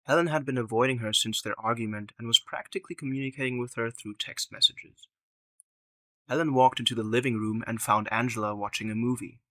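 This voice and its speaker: A man's voice: medium pitch, fairly even intonation, brisk, a bit bright, dry recording. A man narrating a scripted story as the narrator of an audio drama.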